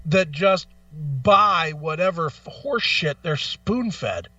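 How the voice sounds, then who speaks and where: A man with a high, sharp, forceful voice rants like an opinionated radio host. He is blunt, profane and emphatic, hammering on repeated phrases. The sound is slightly muffled. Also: expressive, slow pace, no echo, recorded from a distance. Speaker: talk show host. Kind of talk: opinionated music talk, ranting.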